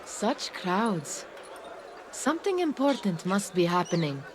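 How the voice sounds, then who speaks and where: A woman's voice: medium pitch, very animated, slow pace, noisy background. An actress playing a sardonic young female thief in a video game, blunt and teasing but loyal. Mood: observant, wry. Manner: dry, teasing. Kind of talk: cinematic video game acting.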